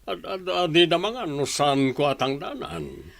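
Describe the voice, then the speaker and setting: A man's voice: fairly high pitch, lively intonation, a little slow, gravelly, a bit bright, full character voice. A man playing a stingy, unyielding village employer in an Ilocano radio drama, with a Filipino accent. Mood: scornful, dismissive.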